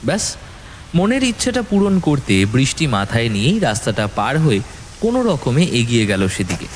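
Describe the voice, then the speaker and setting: A man's voice: medium pitch, wildly swooping pitch, moderate pace, slight background noise. A man narrating a ghost story as a scripted audio drama, in a dramatic storytelling style. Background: background music.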